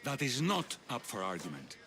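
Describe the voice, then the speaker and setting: An actor playing a pompous, irritable ancient Greek debater in a video game, emphatic and dismissive. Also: male voice, medium pitch, huge pitch swings, normal speed, vocal fry, sharp tone, soft background music, full character voice. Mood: haughty. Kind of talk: dramatic video game dialogue.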